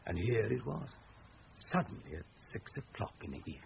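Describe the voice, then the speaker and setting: A man's voice: lowish voice, sing-song, a little fast, vocal fry, exaggerated voice. An actor playing an obsessive, earnest male researcher in a radio drama. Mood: earnest, insistent. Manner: earnest, insistent. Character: obsessive, insistent male researcher and writer, Walter. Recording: dull lo-fi old radio recording, faint noise.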